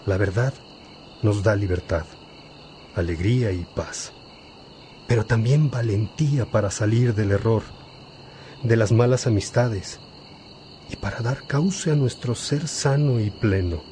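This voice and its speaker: Man acting as gentle old bishop, very slow, fatherly exhortation, radio drama, faint background noise. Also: fairly low pitch, lightly acted. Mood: fatherly, earnest. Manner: paternal, exhorting, deliberate.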